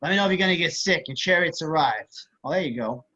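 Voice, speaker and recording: Man reading a sleazy, bright-voiced creeper. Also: a little high, lively intonation, fast talker. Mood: coaxing, sleazy. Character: sleazy, coaxing male creeper at a bar. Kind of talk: amateur screenplay table read. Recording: slightly dull video-call audio.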